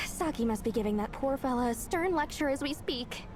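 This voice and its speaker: A woman's voice: sits high, lively intonation, moderate pace, noisy background. An actress voicing a cheerful young woman engineer in a video game, breezy and coaxing as she asks a friend for help. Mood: friendly.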